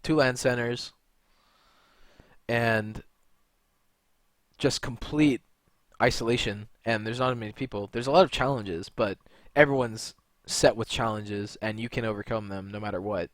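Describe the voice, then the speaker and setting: A man's voice: medium pitch, fairly even intonation, measured pace, fairly bright tone, dry recording. A young man from California talking in a rambling, blunt monologue, full of fillers and restarts. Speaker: video creator and gamer. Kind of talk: unscripted monologue to camera.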